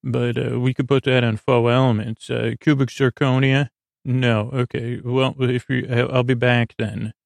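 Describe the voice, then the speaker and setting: Male sleep podcast host: gravelly, very even pitch, lulling, hesitant and meandering delivery. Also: no room sound. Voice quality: creaky. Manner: meandering, halting, trails off into digressions; lulling, soothing. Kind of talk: rambling bedtime monologue to put listeners to sleep.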